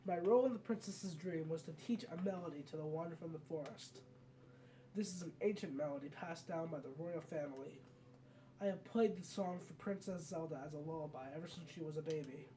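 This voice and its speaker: A solemn woman guardian from a fantasy video game, voiced by a player reading aloud. The delivery is measured and instructive, with a dark, mellow tone. There is slight noise in the home recording. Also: mid-range voice, distant mic, close to an everyday voice, rough recording.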